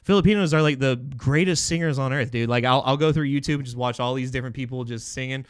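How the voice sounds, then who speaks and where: A man hosting a livestream game show in a studio with casual, slangy, excitable banter. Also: medium pitch, fast, clear, resonant tone, dry recording. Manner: animated, slangy, often shouting in excitement. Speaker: show host.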